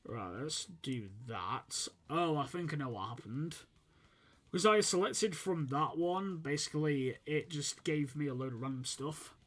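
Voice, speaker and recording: A man's voice: a little high, expressive, a little slow, fairly bright tone. A young man, slightly sniffly from a fading cold, casually commentating his football management game while thinking aloud with many fillers. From United Kingdom. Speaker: gaming channel host.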